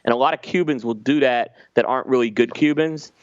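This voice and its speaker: A man's voice: medium pitch, brisk, no echo. A man co-hosting a cigar podcast, giving detailed, explanatory tasting notes in casual panel chat. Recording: slightly dull lo-fi audio.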